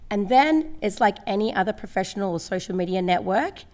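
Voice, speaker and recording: A woman in her late thirties with a slightly croaky voice, giving a conversational, upbeat workshop talk from a lectern microphone in a large school hall. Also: mid-range voice, lively intonation, a little fast, darkish tone. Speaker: company representative giving a workshop. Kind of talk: presentation to parents and teachers.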